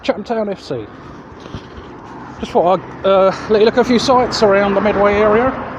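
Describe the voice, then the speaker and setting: A man's voice: high voice, moderate pace. A man with a southeast English accent chatting casually in a rambling, hesitant way as he hosts a vlog on foot. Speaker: vlog host. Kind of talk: casual chat to camera while walking. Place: outdoors walking along roads. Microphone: handheld external microphone. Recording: muffled outdoor street audio, loud traffic noise.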